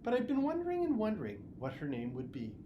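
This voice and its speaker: A man voicing a gentle, caring father who confides mild guilt in an expressive, halting storybook reading. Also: high-pitched, highs cut off, pitched above the performer's own voice. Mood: confiding. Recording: noticeable background noise.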